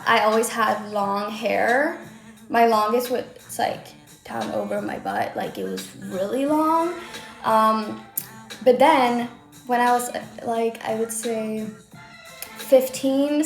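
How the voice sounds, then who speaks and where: A young woman from Sweden with a light Swedish accent, chatting casually on a livestream from her own room, answering comments with lots of "like" fillers. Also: fairly high pitch. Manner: chatty, bubbly, many "like" fillers. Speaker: livestream host. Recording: roomy echo with faint music.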